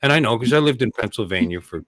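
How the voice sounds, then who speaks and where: A man's voice: medium pitch, expressive, fast, a bit bright. A man chatting casually, joking and teasing, often rambling and restarting his sentences. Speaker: livestream participant. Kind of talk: casual chat.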